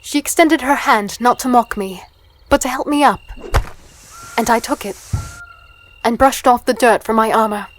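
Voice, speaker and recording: High-voiced, plucky young-heroine fighter woman acting. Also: normal speed, pauses often, a little hiss or hum, close to an everyday voice. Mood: tense storytelling. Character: plucky, spirited small female fighter and archer. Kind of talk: first-person dramatic narration and dialogue.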